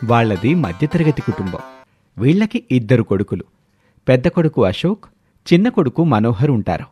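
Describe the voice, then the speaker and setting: A man's voice: mid-range voice, very animated, moderate pace, husky, no echo, lo-fi sound, soft background music. A man narrating a scripted moral story as a storyteller.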